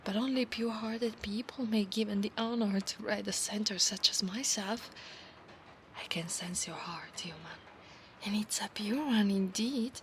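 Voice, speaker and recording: A woman's voice: mid-range voice, moderate pace, a bit bright, a little hiss or hum, natural voice. A woman voicing a proud, insistent female centaur knight of a holy order in an ASMR roleplay, formal and commanding in her speech. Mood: softening, earnest. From Italy. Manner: formal, commanding, haughty, archaic phrasing.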